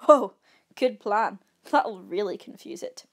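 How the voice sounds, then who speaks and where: A woman voicing an unbothered male camping companion in a storybook read-aloud, deadpan, dismissive and mocking. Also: medium pitch, slow pace. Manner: deadpan, implacable.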